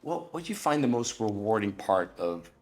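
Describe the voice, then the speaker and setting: A woman with a very deep voice hosts the interview at a brisk clip. Her delivery is lively and animated, and she is chatty and eager, with many affirming remarks. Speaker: host and interviewer. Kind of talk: conversational interview hosting.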